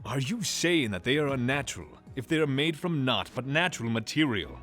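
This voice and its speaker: Fantasy audio drama: male actor for proud forge god, emphatic formal diction, lively range, soft music bed. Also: medium pitch, fairly quick, close to an everyday voice. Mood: indignant.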